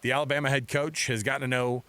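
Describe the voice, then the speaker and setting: A man's voice: mid-range voice, level tone, fast, a bit bright, dry recording. A middle-aged American man hosting sports radio in a casual, chatty, rambling way from a studio mic. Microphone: close studio microphone.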